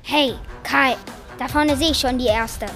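Young girl as a brash robber boss, high-voiced. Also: fairly even intonation, unhurried, fairly bright tone, soft background music. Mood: eager, bossy. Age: child or preteen. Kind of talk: school radio play acting.

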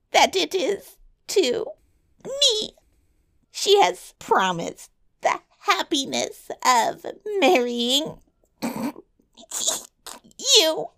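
A woman's voice: sits high, gravelly, a bit bright, voice pushed up, exaggerated voice. A woman voicing a small, malicious male dwarf in a fairy tale, boastful and sly, with a taunting, mock-courtly drawl. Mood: flattering, gloating. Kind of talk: fairy tale storybook reading.

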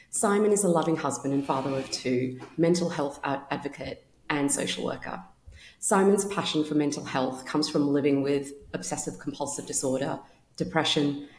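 A woman podcast host reading a scripted introduction and asking questions in a measured way with fillers. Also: sits low, a little mellow, slight background noise. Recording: echoey room with faint music.

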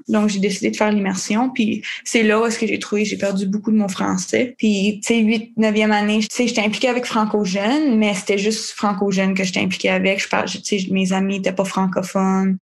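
Young francophone woman, gravelly monotone, chatty testimony. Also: mid-range voice, fairly quick, hardly any pauses. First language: French. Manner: rambling, filler-heavy. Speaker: interviewee. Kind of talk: casual testimony.

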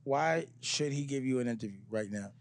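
A man's voice: medium pitch, a little fast. A man interviewing in a studio, pressing his guest with blunt, repeated questions. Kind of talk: confrontational interview.

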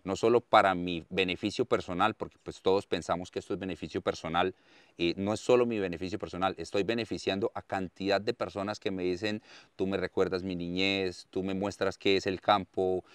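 A man in his thirties with a Colombian accent from Santander, talking as the interviewee about his life in earnest, expressive stories and often repeating words for emphasis. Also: deep voice, brisk, clean tone, dry recording. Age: 30s. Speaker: interviewee, farmer and content creator. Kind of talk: conversational storytelling interview.

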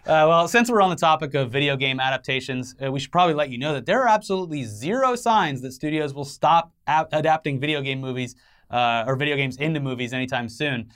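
A man's voice: on the high side, quick pace, fairly bright tone, clear, resonant tone. A man co-hosting an entertainment news show, giving conversational commentary with wry, joking asides.

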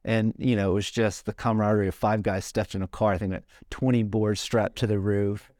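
A man's voice: fairly low pitch, little pitch movement, fairly quick, darkish tone, dry recording, clean studio sound. A middle-aged man talking casually, rambling with lots of "like" and "you know.". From South Carolina, born in Hawaii. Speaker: podcast guest, surfboard shaper. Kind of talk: casual conversation.

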